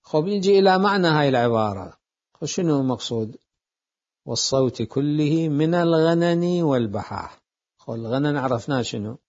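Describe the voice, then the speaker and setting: A man giving a deliberate jurisprudence lecture, repeating phrases as he explains. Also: on the high side, expressive, a little mellow. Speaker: seminary jurisprudence teacher. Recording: dull lo-fi lecture recording.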